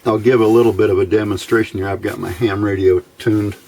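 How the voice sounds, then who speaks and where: A man giving an informal, unscripted hands-on electronics demonstration, talking haltingly and thinking aloud as he goes. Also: lowish voice, flat delivery, a little mellow. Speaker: radio hobbyist presenting his build. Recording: clear background noise.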